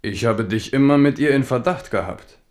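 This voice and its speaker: A man's voice: mid-range voice, normal speed, darkish tone, lightly acted. An actor playing a wry, commanding French king in a German radio drama, terse, probing and brooding. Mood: suspicious probing.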